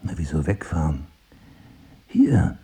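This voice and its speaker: An actor playing an intellectual, probing amnesiac crime writer in a naturalistic radio drama, husky and sardonic. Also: male voice, extremely low pitch, sing-song, slow pace, mellow, exaggerated voice. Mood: playful, intimate. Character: brooding amnesiac crime writer husband, cerebral, suspicious of himself.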